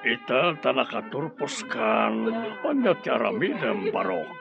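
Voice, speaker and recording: A man's voice: mid-range voice, big pitch swings, measured pace, gravelly, lo-fi sound, music in the background, exaggerated voice. An actor playing a kindly, encouraging middle-aged father figure in an Ilocano radio drama. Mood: fatherly, encouraging.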